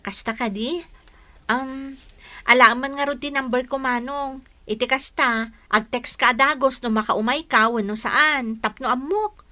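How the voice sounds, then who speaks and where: Bright, high-voiced sweet Ilocano young woman. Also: unhurried. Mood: caring, shy. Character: sweet, bashful, caring young village woman. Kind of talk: Ilocano radio drama acting.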